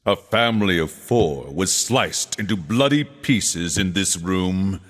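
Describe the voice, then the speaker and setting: An actor playing a mock-polite, gleefully macabre haunted-house guide in a horror game, with drawn-out theatrical narration. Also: male voice, low-pitched, expressive, full character voice. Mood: sinister, playful. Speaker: attraction announcer. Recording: long hall reverb, faint noise.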